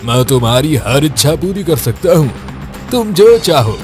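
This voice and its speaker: Man voicing a kindly, animated magic talking blanket. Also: fairly high pitch, a little slow, over music. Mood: reassuring. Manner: reassuring, expansive. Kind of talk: animated moral story voice acting.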